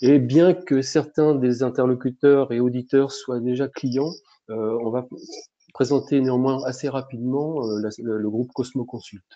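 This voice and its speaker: Male webinar host, hesitant delivery, warm dark voice, lo-fi sound. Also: medium pitch, fairly even intonation, moderate pace. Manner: hesitant, many fillers and restarts. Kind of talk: webinar presentation. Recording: dull lo-fi webinar audio.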